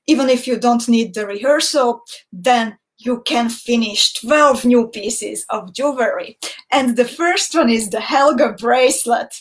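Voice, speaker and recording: A woman's voice: on the high side, low-quality recording. A woman from Slovakia with a Hungarian accent, a native Hungarian speaker, hosting a friendly, chatty live beading tutorial and greeting viewers by name. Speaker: beading instructor.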